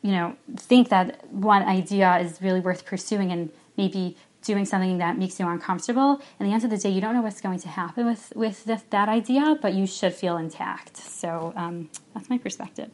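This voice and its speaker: Earnest young woman, halting voice note. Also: medium pitch, a little mellow. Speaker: listener sending a voice note. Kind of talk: personal voice note.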